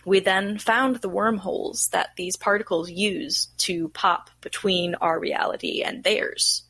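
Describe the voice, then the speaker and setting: A woman's voice: medium pitch, fairly even intonation, unhurried, vocal fry, a bit bright, a little hiss or hum. A woman playing a weary research scientist recording experiment logs in an audio drama, speaking in a dry, formal, precise manner. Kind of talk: scripted audio drama log narration.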